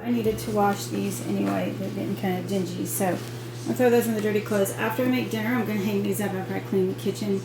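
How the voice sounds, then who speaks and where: A woman's voice: mid-range voice, steady tone, fast, music in the background, poor audio. A woman vlogger chatting casually about homemaking in a chatty, rambling way. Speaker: lifestyle vlogger. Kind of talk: casual homemaking vlog chat.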